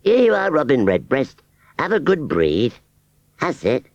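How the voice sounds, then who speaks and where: A man's voice: medium pitch, huge pitch swings, a little slow, dry recording, muffled recording, voice pushed up. A man reading a storybook and voicing a simple-minded, humble male scarecrow with a broad rustic drawl and hesitant ums. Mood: contented.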